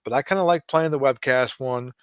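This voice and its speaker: Man, late forties, casual baseball play-by-play, chatty asides, mid-pitched, dry close mic. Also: fairly quick, smooth, no room sound. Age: 40s. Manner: relaxed, chatty, given to asides and small restarts. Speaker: game streamer narrating a simulated baseball game.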